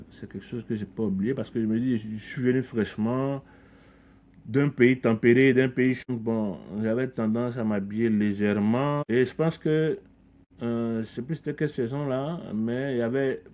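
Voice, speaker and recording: A man's voice: mid-range voice, normal speed, darkish tone. A middle-aged man from Ivory Coast, a community leader speaking as a podcast guest, talking earnestly and reflectively, often repeating words as he restarts phrases. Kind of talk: conversational interview.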